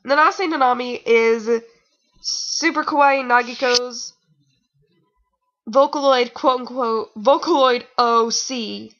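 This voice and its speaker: Bright, high-pitched exasperated young woman. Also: slow pace, highs cut off. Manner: exasperated, rambling, theatrical. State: tired, sore nose. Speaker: fan fiction reader. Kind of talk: mocking amateur reading with casual asides.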